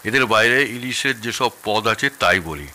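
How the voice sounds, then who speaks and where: A man's voice: lowish voice, animated, normal speed, bright, forceful tone. A man acting an opinionated, nostalgic middle-aged father-in-law who loves his food, in a theatrical audio-drama style. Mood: competitive. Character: grumbling, nostalgic elder Bengali father-in-law, fond of food.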